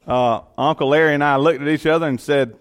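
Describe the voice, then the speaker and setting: A man's voice: fairly high pitch, fairly even intonation, brisk, clean tone. A middle-aged man with a Georgia accent, a county commission chairman, giving a personal testimony in an earnest, folksy way. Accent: Southern US, Georgia.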